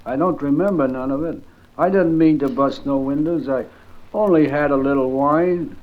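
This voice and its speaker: An actor playing a meek, rambling down-and-out wino in a 1950s radio drama, apologetic and slangy. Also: male voice, a little high, normal speed, lightly acted. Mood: apologetic, worried. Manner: apologetic, slangy, uneducated speech. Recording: dull vintage 1950s radio recording.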